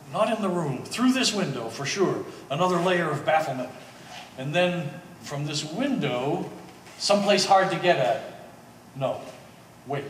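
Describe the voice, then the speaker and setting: In a reverberant hall, some way from the mic, a man plays a wry, excitable treasure hunter on stage. His voice is fairly high, and he muses aloud in halting bursts. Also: distant mic, lightly acted. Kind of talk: live one-act stage play. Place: art gallery performance space. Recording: echoey hall from afar, faint noise.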